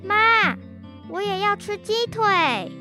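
A woman's voice: extremely high pitch, animated, slow, music in the background, exaggerated voice. A schoolgirl acting a whiny, indignant young sister in a children's radio drama. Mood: insistent. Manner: whiny, protesting.

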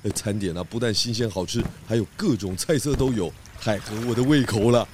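A man's voice: fairly low pitch, a little fast, darkish tone, a little hiss or hum, close to an everyday voice. A man voicing a jolly male pig detective in a kids' audio drama, cheerful and greedy for food.

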